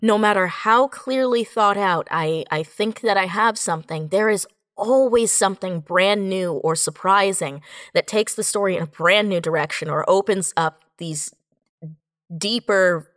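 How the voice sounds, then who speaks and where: A woman's voice: medium pitch, unhurried, fairly bright tone, no echo, studio quality. A woman writer chatting on a podcast panel, explaining things in a thoughtful way. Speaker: podcast guest, audio drama writer. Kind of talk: casual panel chat.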